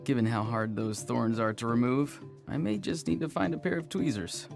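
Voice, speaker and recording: A man's voice: medium pitch, steady tone, moderate pace, creaky, lightly acted. A man voicing a polite, naive young man in an anime game, earnest and slightly theatrical, with formal wording. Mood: wounded, earnest. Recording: music behind the voice.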